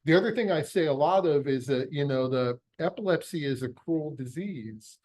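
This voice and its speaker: A man's voice: fairly high pitch, animated, moderate pace, a little mellow. A middle-aged American man giving a conversational medical lecture, explaining emphatically and posing rhetorical questions. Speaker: physician lecturer, pediatric epilepsy surgeon.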